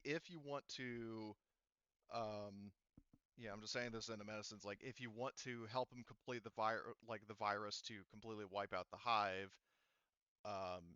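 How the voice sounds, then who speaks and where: Low, bright-voiced man running a game. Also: clear, resonant tone, dry recording, highs cut off. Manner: halting, explanatory, circling back to clarify. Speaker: game master. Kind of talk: improvised tabletop game narration.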